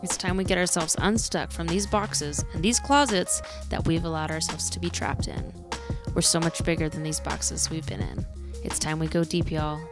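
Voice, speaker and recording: A woman's voice: sits low, steady tone, moderate pace. Woman opening a podcast over music. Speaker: podcast host. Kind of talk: scripted show intro.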